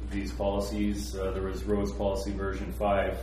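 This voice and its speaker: A male council member speaking haltingly, with many fillers, during a council meeting discussion. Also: low-pitched, monotone, warm tone. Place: council chamber. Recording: echoey room from far off, faint noise.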